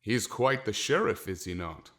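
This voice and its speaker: Man playing a low-voiced, unruffled period gentleman. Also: expressive, normal speed. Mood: amused, unruffled. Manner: urbane, wry, self-assured. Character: cunning, unruffled wealthy merchant gentleman accused of witchcraft. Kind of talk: audio drama acting in period speech.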